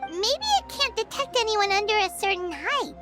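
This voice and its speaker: A woman voicing a tiny, excitable, cheerful childlike fairy companion in a video game, with a squeaky voice. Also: normal speed, music in the background. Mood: cheerful, friendly.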